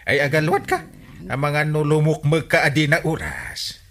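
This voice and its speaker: An actor with an Ilocano accent playing an exasperated village captain who scolds and questions emphatically in a radio comedy drama. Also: male voice, a little high, expressive, measured pace, a bit bright, a little hiss or hum. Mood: scolding.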